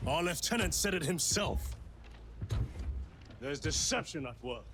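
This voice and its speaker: A man's voice: a little high, animated, a little slow. A man voicing a suspicious, hot-headed armored space soldier in a dramatic, accusatory manner for a video game. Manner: intense, accusatory.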